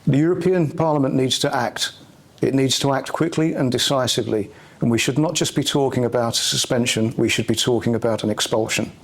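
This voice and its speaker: A man's voice: mid-range voice, brisk. A man moderating a conference, speaking off the cuff with fillers and repeated words. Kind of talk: unscripted remarks and moderating. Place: conference room in parliament.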